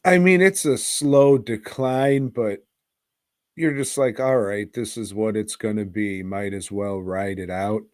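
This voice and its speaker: A low-voiced man chats through a film review as a podcast host. He takes his time, rambling in loose slang and cursing freely, recorded close and dry. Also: measured pace, a little mellow, no echo. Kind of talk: casual, crude film review chat.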